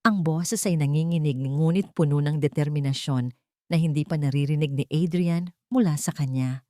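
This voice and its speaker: A woman's voice: sits low, normal speed, warm tone, no room sound. A woman narrator telling a scripted story in a dramatic storytelling style, recorded close.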